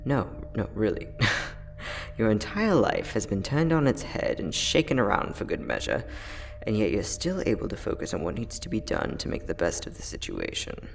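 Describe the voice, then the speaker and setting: A man playing a friendly, teasing time-traveling inventor, soft-spoken and chatty in an ASMR roleplay audio drama. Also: lowish voice, very animated, normal speed, creaky. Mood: warm, sincere. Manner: soft-spoken, chatty, playful, with frequent chuckles.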